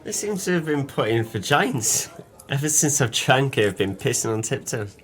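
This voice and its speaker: An actor playing a jovial, tipsy, hard-up former sailor in an audio play, talking in hearty, flowery, boastful phrases. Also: male voice, medium pitch, animated, moderate pace, a bit bright, natural voice. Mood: musing, mildly aggrieved.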